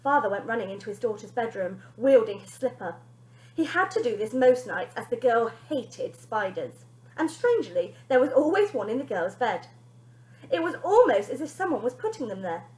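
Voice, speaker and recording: A woman teacher reading a children's story aloud in an expressive narrating style. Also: on the high side, normal speed. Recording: echoey room.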